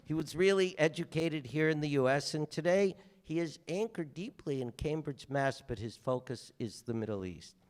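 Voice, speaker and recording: A man's voice: fairly high pitch, expressive, moderate pace, few pauses. A man hosting a stage conversation, introducing his guest with an affectionate anecdote. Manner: storytelling, affectionate. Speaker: host, museum director.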